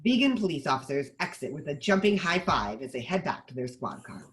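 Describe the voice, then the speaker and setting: A narrator reading stage directions in an animated way for a screenplay table read. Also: mid-range voice, brisk.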